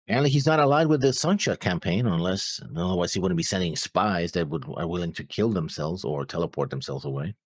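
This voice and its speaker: A man co-hosting a reaction show, bantering casually in a halting, rambling way and often trailing off. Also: deep voice, animated, no echo. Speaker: co-host of an anime reaction show.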